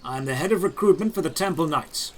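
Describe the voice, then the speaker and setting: A man playing a calm, all-knowing old knight spymaster in a fantasy audio drama, measured and emotionless. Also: on the high side, a little hiss or hum, close to an everyday voice. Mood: matter-of-fact. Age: older. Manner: measured, emotionless, matter-of-fact.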